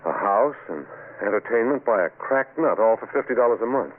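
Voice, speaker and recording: Man playing wisecracking family man, deep voice, animated swoops, old radio drama, muffled recording. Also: a little fast, slight background noise, close to an everyday voice. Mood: amused, dismissive. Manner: folksy, dismissive banter. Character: breezy, wisecracking husband and father. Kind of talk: 1940s radio drama acting.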